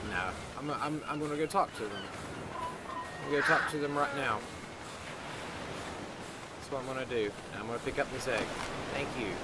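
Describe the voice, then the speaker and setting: A man's voice: medium pitch, normal speed, long pauses, natural voice. A man playing a lonely, self-pitying survivor in a video game roleplay, muttering and grumbling to himself and his dinosaurs. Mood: muttering, resolved. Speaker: gaming roleplay video creator. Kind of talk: improvised in-character roleplay monologue. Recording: loud rain and thunderstorm game noise. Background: game rain, thunder and ambience.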